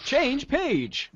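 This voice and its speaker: A woman's voice: a little high, gravelly, no room sound, highs cut off. A woman reading comic narration aloud and calling out page changes for a podcast.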